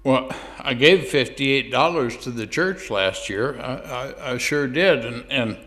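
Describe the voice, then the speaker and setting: A man's voice: mid-range voice, measured pace, a bit bright, close to an everyday voice. A man playing a sheepish churchgoer who stammers out excuses to God on the phone. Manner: stammering, hesitant, with many restarts. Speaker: church speaker. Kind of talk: comic phone-call monologue.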